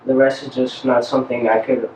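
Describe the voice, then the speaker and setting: Male cast member, halting, off-mic in a noisy room. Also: mid-range voice, level tone, a little mellow. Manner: halting, many fillers. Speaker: actor in the film. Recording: roomy, distant, noisy.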